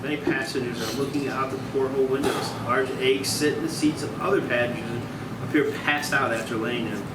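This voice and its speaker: A man narrates a screenplay's stage directions at a table read. His voice is mid-pitched and sounds distant in a reverberant room, with heavy noise behind it. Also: fairly even intonation, brisk. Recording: echoey, very noisy room, off mic.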